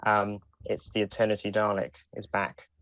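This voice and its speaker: A man's voice: fairly low pitch, completely flat delivery, moderate pace, a bit bright, no echo. A man co-hosting a podcast in casual conversation. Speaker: podcast co-host. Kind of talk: casual chat.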